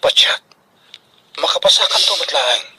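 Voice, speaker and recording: An actor playing a calm, supportive male friend in a radio drama, reassuring and measured. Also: fairly high pitch, slightly rough, no echo, full character voice, rough recording.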